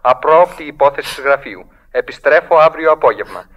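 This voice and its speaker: A man's voice: medium pitch, expressive, dull audio, close to an everyday voice. An actor playing a businesslike male telegraph clerk in a radio drama, formal and mechanical in delivery.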